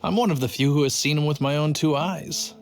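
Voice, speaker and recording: A man's voice: on the high side, brisk, lightly acted. A man voicing an earnest, boastful but timid city guard in a video game, telling things with drama. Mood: dramatic. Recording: faint music behind the voice.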